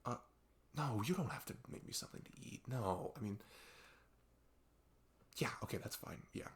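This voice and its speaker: A man playing an earnest male best friend in an audio roleplay, confiding in a casual, rambling, halting way with lots of filler words. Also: mid-range voice, animated, gravelly. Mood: relaxed, playful. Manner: rambling, halting, filler-heavy, laughs self-consciously. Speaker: ASMR audio roleplay performer. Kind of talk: casual improvised-sounding roleplay monologue addressed to the listener.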